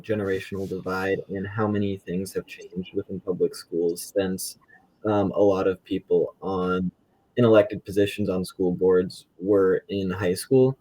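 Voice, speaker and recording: A man's voice: sits low, mellow, poor audio. A young man speaking as a guest in a conversational panel discussion. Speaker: student school board member, podcast guest.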